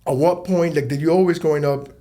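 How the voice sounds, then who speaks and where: A man's voice: fairly high pitch, fairly even intonation, quick pace, mellow. A man in his thirties hosting a podcast interview, chatty and casual, who swears freely. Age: 30s. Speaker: podcast host.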